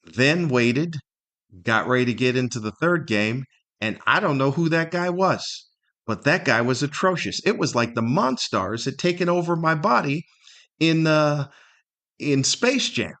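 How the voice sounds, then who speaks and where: A man's voice: fairly high pitch, expressive, measured pace, a bit bright. A middle-aged man hosting a casual solo podcast, telling stories in a rambling, wry and self-deprecating way. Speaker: podcast host.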